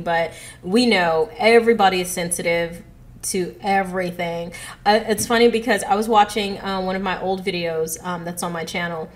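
A woman in her thirties hosting a reaction video, chatting casually to viewers with a stuffy, congested nose, on an earbud mic in her living room. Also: on the low side. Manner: chatty, giggly, addresses viewers directly. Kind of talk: casual chat to camera. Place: living room at home, indoors. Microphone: earbud headset mic.

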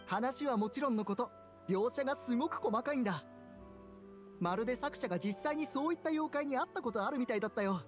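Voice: medium pitch, lively intonation, a little fast, soft background music, natural voice. A performer voicing a mild, enthusiastic young male bookworm in a video game, musing casually and earnestly. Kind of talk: scripted video game dialogue.

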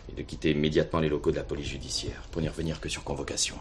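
Formal police superintendent, low voice, brisk delivery, correct and cold, radio drama. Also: male voice, fairly even intonation, background noise. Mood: cold, official. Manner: formal, measured officialese. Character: stiff, formal, correct male police superintendent, coldly dutiful. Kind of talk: French radio play acting.

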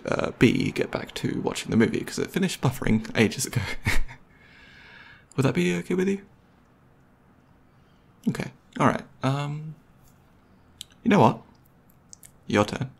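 ASMR roleplay boyfriend, male actor: mid-pitched, gravelly, goofy, caring, hesitant, intimate casual delivery. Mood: playful. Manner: hesitant, rambling, playful. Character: goofy, caring, slightly nervous boyfriend on a movie date.

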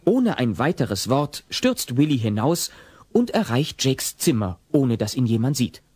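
A man's voice: mid-range voice, sing-song, unhurried, darkish tone, a little hiss or hum. A man giving scripted narration for an audio play.

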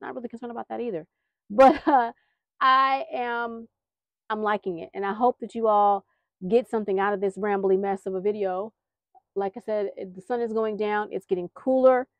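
American woman, crackly vocal fry, casual vlogger chat, folksy, wandering and apologetic, dry open-air sound. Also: mid-range voice, fairly even intonation, normal speed, no echo. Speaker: gardening vlogger, host. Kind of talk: casual rambling video update. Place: backyard garden, in and around a small greenhouse.

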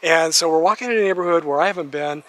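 A man's voice: a little high, fast, a bit bright. A man chatting casually as he guides a walking tour outdoors, laughing often. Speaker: vlogger walking-tour host. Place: outdoors on a neighborhood street at dawn, walking.

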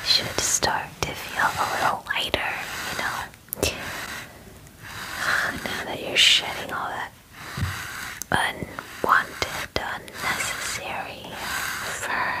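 A woman in her twenties speaking in a soft, breathy whisper close to the mic, gently and soothingly playing an attentive spa groomer in an ASMR roleplay, often repeating words. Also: rough recording. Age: 20s. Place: small dim room, indoors. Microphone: very close microphone, facing camera.

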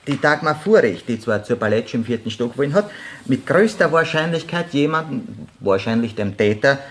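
An actor playing a careful, formal police officer with an Austrian accent, reporting in a radio crime drama. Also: male voice, medium pitch, expressive, normal speed, close to an everyday voice.

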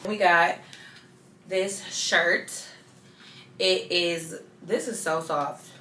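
A young woman in her twenties chatting casually through a clothing haul, lively and loose, dipping into soft asides. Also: lowish voice, drawn-out words, echoey room, slight background noise. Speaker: fashion vlogger. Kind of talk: casual try-on haul chat.

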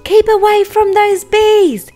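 Lady doing a worried aussie storybook mum, bossy urgent scolding, voice way up high. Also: little pitch movement, takes it slowly, faint music behind. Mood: alarmed scolding. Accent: Australian. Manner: urgent, shouted warning. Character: protective mum scolding her little dog. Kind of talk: children's storybook character voice.

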